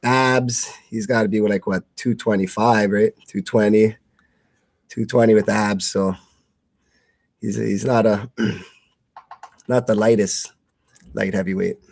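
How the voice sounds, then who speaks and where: A man's voice: lowish voice, steady tone, slow, creaky, long pauses, no echo, studio quality. A man co-hosting fight commentary in casual chat, with a tentative, questioning way of talking.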